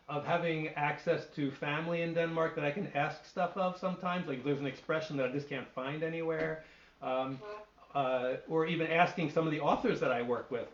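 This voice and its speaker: A man's voice: on the high side, a little hiss or hum. Middle-aged man, echoey lo-fi call. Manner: thoughtful, searching for words with frequent restarts. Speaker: literary translator and poet. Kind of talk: answering questions at an online reading. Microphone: video call. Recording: echoey, dull lo-fi call audio.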